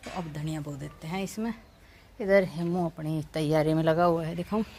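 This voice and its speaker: A woman's voice: low-pitched, fairly even intonation, normal speed, darkish tone. Middle aged haryanvi lady chatting on a home vlog, bit bossy instructions, phone mic, slight bg noise. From rural Haryana, India. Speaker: family vlogger, mother. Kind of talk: casual home vlog chat. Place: village house and open courtyard. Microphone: handheld phone.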